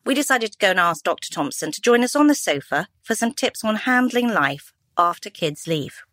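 A woman in her fifties co-hosting a relaxed podcast chat, talking freely and laughing easily. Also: mid-range voice, normal speed, no room sound, studio quality. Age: 50s. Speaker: podcast co-host. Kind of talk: casual chat. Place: home living room.

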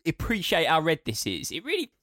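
A man co-hosting a podcast from home, chatting casually in an animated, rambling way while sounding a little congested. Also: fairly high pitch, a little fast, no echo, studio quality. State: congested nose from illness. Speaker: podcast co-host. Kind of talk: casual chat.

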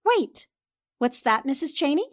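Sharp, inquisitive lady reporter, played by a woman, high-pitched, dry studio, 1940s radio drama style. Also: big pitch swings, unhurried, dry recording. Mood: probing. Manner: pointed, inquisitive.

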